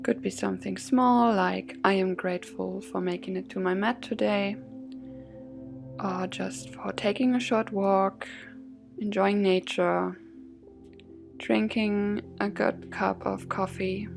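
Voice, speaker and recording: A woman guides a yoga and meditation class at a slow, unhurried pace. Her medium-pitched voice has a creaky edge and a soothing, measured delivery. Soft music plays behind her. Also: steady tone. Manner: soothing, gently measured phrasing with breath cues.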